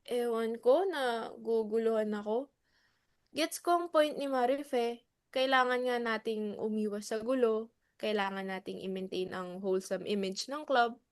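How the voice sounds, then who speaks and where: A woman's voice: a little high, unhurried, smooth, no echo, clean studio sound, lightly acted. A woman with a Filipino accent playing a hesitant, peacemaking club member in a dramatic reading. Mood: torn. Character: hesitant, conciliatory clubwoman keeping the peace.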